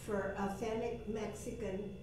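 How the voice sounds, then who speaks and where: A woman's voice: medium pitch, flat delivery, a little slow. A woman serving as a committee member, talking casually in a meeting discussion. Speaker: committee member, former mayor. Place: large indoor conference room, far microphone. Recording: distant echoey meeting room, noisy background.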